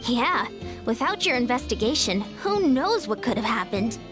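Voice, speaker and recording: High-voiced, willing female villager. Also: very animated, normal speed, music in the background. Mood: supportive. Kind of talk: video game voice acting.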